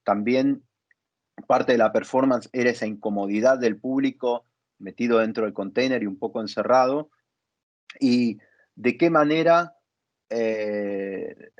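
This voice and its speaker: Casting: man as arts interviewer, mid-pitched, exploratory and halting, long questions; slightly muffled recording. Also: darkish tone, no room sound. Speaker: interviewer, theatre dramaturge.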